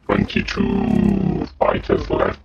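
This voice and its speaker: A man voicing a sinister demon who commentates on a game in a slow, theatrical, guttural growl. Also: lightly acted. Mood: mock-menacing. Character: sinister male demon playing games, mock-menacing and slow. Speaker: gamer. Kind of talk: live gameplay commentary. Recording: muffled lo-fi audio, faint noise.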